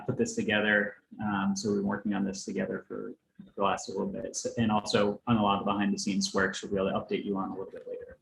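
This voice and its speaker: A man's voice: fairly low pitch, gravelly. A man chairing an informal online meeting, speaking in a hesitant, casual and accommodating way. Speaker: meeting chair, medical director. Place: indoor room on video call. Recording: roomy echo, faint noise.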